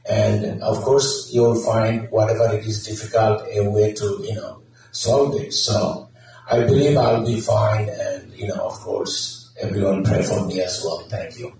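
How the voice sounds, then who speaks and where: A man's voice: fairly low pitch, steady tone, a little slow, gravelly, a little mellow, faint music behind. A man running for council, answering forum questions earnestly and hesitantly, with many fillers. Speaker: council candidate. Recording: echoey hall, distant mic, faint noise.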